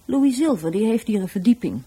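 A woman's voice: a little high, lively intonation, brisk, warm tone, slightly rough, low-quality recording. An actress playing a blunt, cynical woman in a radio drama, with a dry, nonchalant way of talking. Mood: dismissive. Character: blunt, offhand, cynical sister of a murder victim.

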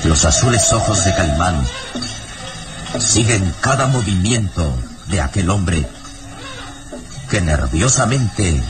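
A man narrates an old radio drama in a vivid, declamatory way and a slightly low voice. Music plays clearly beneath him. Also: animated, takes it slowly, pauses often.

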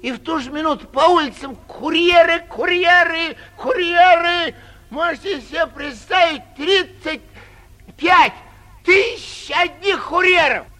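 A man's voice: very high voice, pushed and bright, heavily acted. An actor playing a boastful, tipsy young man who gets carried away, in theatrical 1940s radio drama style. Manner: drawn-out bragging with sudden swells and drops.

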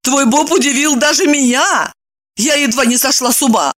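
A woman's voice: high voice, moderate pace, a bit bright, voice pushed up. A woman voicing a cheeky, coy young woman in an audiobook, retorting to her friend in a flippant, theatrical way. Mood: teasing, indignant.

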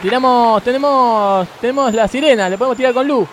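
A man's voice: very high voice, brisk. A man with an Argentine accent playing a bungling, grumbling emergency crewman in a radio comedy sketch, rambling and repeating himself over vehicle noise. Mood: agitated. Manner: rambling, repetitive, colloquial. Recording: noisy city street and vehicle ambience.

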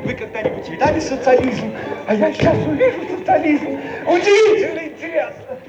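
Excitable empty-headed official, extremely high voice, gushing slogans, radio satire, echoey, loud music. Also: male voice, a little fast, highs cut off, a loud crowd. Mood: gushing excitement. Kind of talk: theatrical satirical radio comedy acting.

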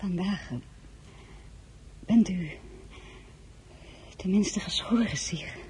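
A woman's voice: medium pitch, takes it slowly, warm tone, husky. An actress playing a proud, guarded lady in a radio drama, speaking in a restrained, formal way. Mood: cool, hushed. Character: proud, guarded lady, emperor's former love. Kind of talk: dramatic radio-play acting and narration.

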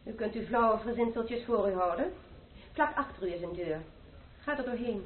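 Actress as a jealous lady holding a pistol: high and lively voice, tinny old radio sound. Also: moderate pace, echoey room, a little hiss or hum, natural voice. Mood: commanding. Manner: commanding, scornful. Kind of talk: radio drama acting.

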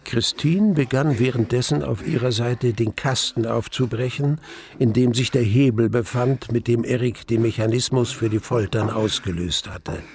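An actor playing a tormented man recounting his ordeal, as measured, grave and dramatic narration in a radio drama. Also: mid-range voice, darkish tone, close to an everyday voice. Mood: grave recollection.

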